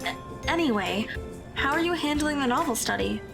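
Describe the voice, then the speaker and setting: A woman playing an awkward, nervous high school girl in an audio drama, a little hesitant, with music behind her. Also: animated, normal speed, gravelly. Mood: guarded. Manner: hesitant, stammering when flustered.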